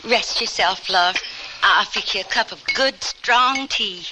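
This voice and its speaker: An actress playing a hospitable, gently firm, motherly rancher's wife in an old-time radio drama. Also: medium pitch, takes it slowly, background noise, lightly acted. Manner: motherly, folksy.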